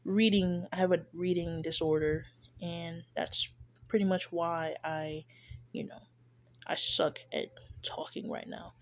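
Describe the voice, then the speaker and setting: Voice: mid-range voice, creaky. A stuffy-nosed speaker giving casual, shy, hesitant commentary on a clip, with many fillers and restarts. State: stuffy, congested nose. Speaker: reaction video creator. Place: lives in Texas.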